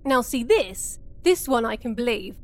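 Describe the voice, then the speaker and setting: Actress as a high-voiced, bossy, impatient woman. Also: animated, normal speed, background noise, natural voice. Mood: brusque, worked up. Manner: brusque, emphatic. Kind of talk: audio comedy drama.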